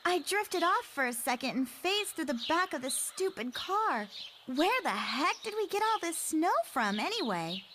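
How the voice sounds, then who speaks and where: An actress playing a sassy, dramatic fourteen-year-old girl in a motion comic, with an exasperated, expressive delivery. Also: very high-pitched, normal speed, a little hiss or hum, full character voice. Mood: exasperated, sulky. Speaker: voice actor.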